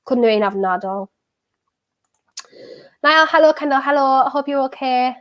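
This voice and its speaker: A woman with a softened County Durham accent, streaming a live football watchalong, talking in an anxious, excitable, rambling way and repeating herself. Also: high voice, normal speed. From North East England. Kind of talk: live match reaction chat.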